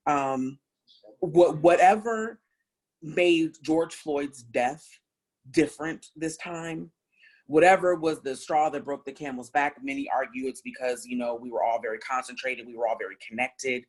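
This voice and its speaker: A woman in her thirties from Ohio with a deep voice, speaking earnestly and reflectively as a panel speaker sharing personal testimony. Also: a little slow, darkish tone, rough recording. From Columbus, Ohio, US. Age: 30s.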